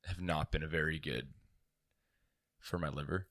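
A man's voice: extremely low pitch, steady tone, fairly bright tone, no echo, clean studio sound. A man co-hosting a podcast, chatting casually and throwing in teasing jokes. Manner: joking, teasing, quick asides. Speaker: podcast co-host. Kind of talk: casual chat.

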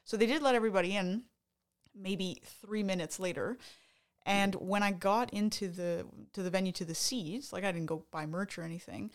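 A young woman from Canada, co-hosting a podcast, telling a concert story in a chatty way with many fillers and restarts. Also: mid-range voice. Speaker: podcast co-host. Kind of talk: casual chat.

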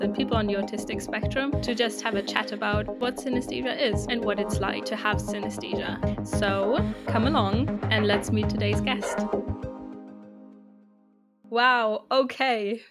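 A woman's voice: medium pitch, steady tone, unhurried, over loud music. A woman in her twenties from Germany with a German accent, casually introducing her podcast as host in English, her second language. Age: 20s. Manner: chatty and upbeat, with fillers and laughs.

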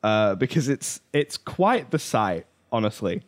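A man co-hosting a podcast in casual chat about films, explaining things in a halting, rambling way full of tangents. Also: fairly low pitch, big pitch swings. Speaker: podcast co-host.

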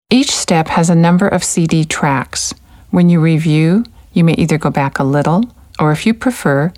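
A woman with a low voice narrates a language course in a calm, encouraging way. Her delivery is measured and steady, like a scripted guide.

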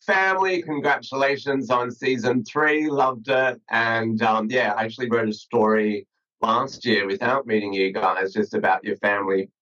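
A man's voice: mid-range voice, fairly even intonation, normal speed, pushed and bright, dull audio. A man with an Australian accent hosting an interview over a video call, asking long questions with many fillers and restarts. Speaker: podcast host.

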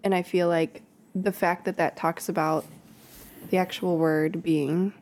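A woman's voice: lowish voice, fairly even intonation, measured pace, darkish tone, a little hiss or hum. A woman, a children's pastor, talking casually on a podcast and reading scripture aloud, with ums and laughing asides. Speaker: kids' pastor. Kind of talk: casual podcast Bible study.

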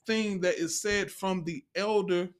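A man's voice: high voice, fairly even intonation, a little slow. An American man chatting casually in a group livestream, emphatic and slangy, often repeating his phrases. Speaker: stage play cast member.

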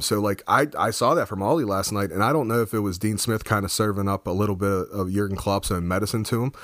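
A hoarse man co-hosting a casual football review, talking excitably and rambling with many restarts. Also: on the low side, dry recording, studio quality. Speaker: football podcast co-host. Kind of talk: casual match review chat.

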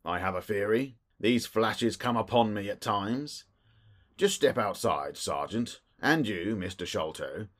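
A man performs a stout, middle-aged male detective from a storybook, husky and wheezy. He blusters along in short, clipped bursts, full of his own importance, with the pitch jumping widely. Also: expressive, unhurried. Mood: pompous, musing. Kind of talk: audiobook character voice.

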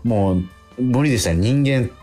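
A middle-aged man chatting casually as a radio host, animated and exclamatory, often repeating himself. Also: mid-range voice, moderate pace. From Miyazaki, Japan. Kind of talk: casual chat, anecdote telling. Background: music under the talk.

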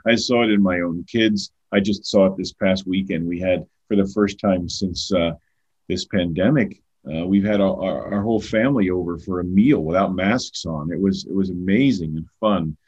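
A man's voice: low voice, normal speed, husky. An older man talking earnestly as a podcast guest, often repeating words as he starts a thought. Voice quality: loud voice. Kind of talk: conversational podcast talk. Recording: dull lo-fi sound.

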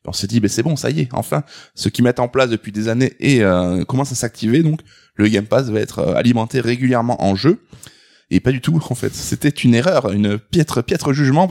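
A man with a cold, talking through a stuffy nose in a hoarse voice, presenting a video game news segment on a podcast. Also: mid-range voice, animated, fairly quick, a little mellow. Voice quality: hoarse, husky. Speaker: co-host, columnist.

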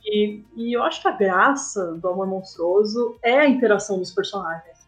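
A woman's voice: medium pitch, moderate pace, soft background music. A Brazilian woman speaking as a guest in a casual podcast interview, explaining her work and often checking in with short tag questions. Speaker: guest, comic artist and game writer.

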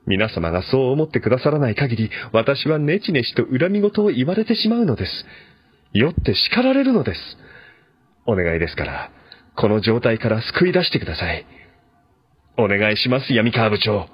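A man's voice: medium pitch, big pitch swings, moderate pace, slightly rough, no echo. Man acting an earnest, swooping, desperate ex-employee. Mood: desperate pleading. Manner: polite, pleading, increasingly overwrought. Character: earnest, desperate male ex-employee pleading in a letter. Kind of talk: audio drama letter monologue. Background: background music.

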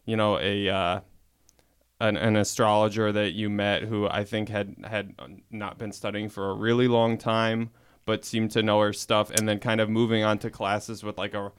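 Low, smooth-voiced man, hesitant. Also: little pitch movement, moderate pace. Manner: hesitant, many fillers and restarts. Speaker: podcast guest, host's husband. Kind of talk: casual conversation.

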